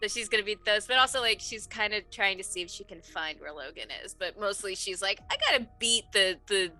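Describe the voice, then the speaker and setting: A woman chatting and roleplaying as a tabletop game player, informal, slangy and animated in manner. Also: medium pitch, fairly quick, faint music behind. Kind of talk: casual improvised roleplay chat.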